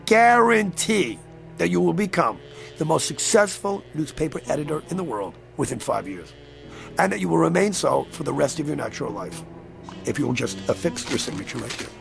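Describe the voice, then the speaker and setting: A man's voice: on the high side, very animated, brisk, gravelly, heavily acted. An older man acting in a radio drama as a sly, gleeful devil posing as a crazy old man, with a wheedling, mock-polite manner. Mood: sly persuasion. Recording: music under the voice.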